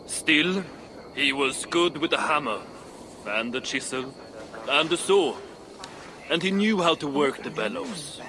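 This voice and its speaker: A man's voice: on the high side, expressive, a little slow, pauses often, noisy background. An actor playing an opinionated, skeptical dwarf craftsman in a video game, with a dry manner. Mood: mournful, halting. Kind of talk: video game dramatic acting.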